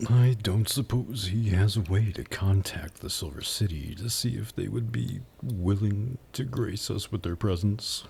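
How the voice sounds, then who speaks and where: ASMR demon buyer, acted by a man: very deep, gravelly, mocking and flirtatious, predatory, breathy close-mic delivery. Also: dark tone, full character voice. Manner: slow, taunting, condescending purr. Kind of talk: ASMR roleplay acting.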